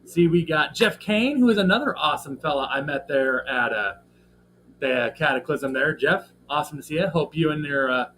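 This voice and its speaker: A young man hosts a casual live stream, his voice slightly scratchy and sitting a bit higher than most men's. He rambles through his thoughts with frequent fillers and stops to greet his chat. A faint background hiss runs under his talk. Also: animated, normal speed. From American Midwest. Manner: rambling, filler-heavy, friendly shout-outs to chat. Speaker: livestream host.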